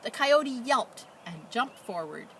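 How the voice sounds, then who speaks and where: A woman reading aloud as a children's storyteller, with expressive, dramatic emphasis and casual delivery. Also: on the high side, heavy background noise. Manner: animated, dramatic emphasis and drawn-out words.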